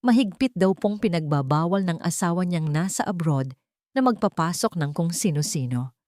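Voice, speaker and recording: A woman's voice: lowish voice, expressive, moderate pace, darkish tone, no room sound, close to an everyday voice. An elderly male family driver in an audio story, voiced by a woman narrator. He is polite to the point of servility and fretful in manner. The sound is close and dry. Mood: deferential.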